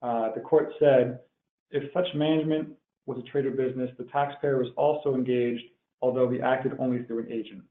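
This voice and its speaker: A man's voice: medium pitch, fairly even intonation, fairly quick. Man on a tinny, echoey line. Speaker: webinar presenter, tax professional. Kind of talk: explanatory tax webinar lecture. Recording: tinny phone-like line, roomy echo.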